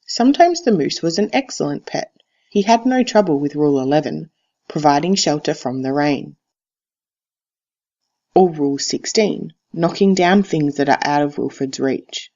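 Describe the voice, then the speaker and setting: A teacher reads a picture book aloud in a deliberate, expressive storytelling style, on a slightly dull recording. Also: medium pitch. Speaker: teacher reading to a class.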